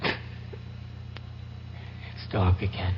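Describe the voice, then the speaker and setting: An actor playing an anxious, protective young man in an old-time radio drama, stammering and repeating his words earnestly. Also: extremely slow. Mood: tender. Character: anxious young husband or sweetheart, protective, trapped. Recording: echoing, dull, noisy vintage radio recording.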